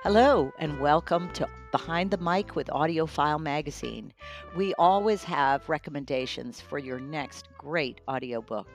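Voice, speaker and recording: A woman's voice: deep voice, moderate pace, over music. A woman hosting an audiobook podcast, introducing and interviewing guests in a presenter's style. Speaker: podcast host and magazine editor.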